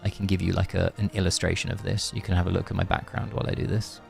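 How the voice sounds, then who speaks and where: A man's voice: sits low, level tone, fast talker, gravelly, soft background music. A man chatting informally and chummily to viewers as he streams a game. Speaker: game livestreamer. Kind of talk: casual livestream chat and commentary.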